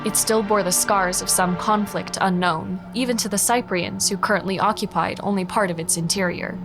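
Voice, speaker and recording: Grave woman narrator over loud music. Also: medium pitch, steady tone, moderate pace. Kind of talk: dramatic scripted sci-fi audio drama narration. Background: loud cinematic music.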